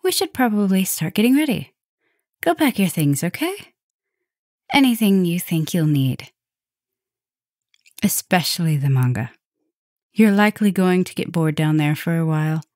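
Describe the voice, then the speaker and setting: A protective female vampire professor, played by a woman, speaks in a fairly low voice, close and dry. She is softly dominant yet caring, addressing the listener intimately in ASMR roleplay style. Her gentle delivery often slips toward a breathy whisper. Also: sing-song, normal speed, long pauses, no room sound.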